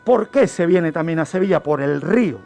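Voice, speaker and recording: A man's voice: on the high side, expressive, moderate pace, gravelly, darkish tone. A man telling historical events on the radio in a didactic way, asking questions and answering them himself. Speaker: guest criminologist telling crime history. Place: radio studio. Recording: music bed behind the voice. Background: background music.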